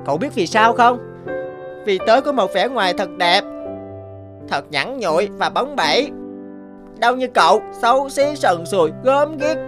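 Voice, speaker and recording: A woman's voice: a little high, animated, a little slow, pauses often. A woman voicing a vain, boastful young guava that mocks others in a children's cartoon. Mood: gloating. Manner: boastful, mocking. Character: vain, smug, sneering young smooth-skinned guava. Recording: music behind the voice.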